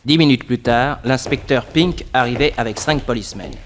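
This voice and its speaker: A man's voice: medium pitch, normal speed, a little hiss or hum. A man reading scripted narration for a French radio detective drama.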